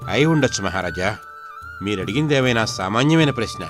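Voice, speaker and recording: A man voicing a royal chief minister, respectful and formal, in an animated folk tale. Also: lowish voice, fairly quick, music in the background, natural voice.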